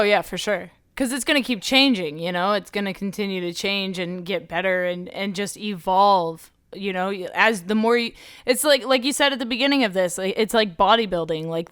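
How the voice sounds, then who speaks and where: A woman's voice: medium pitch, a bit bright, clear, resonant tone, no room sound, studio quality. A woman hosting a podcast and talking casually about vocals, encouraging and chatty with filler phrases. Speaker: podcast host and metal vocalist.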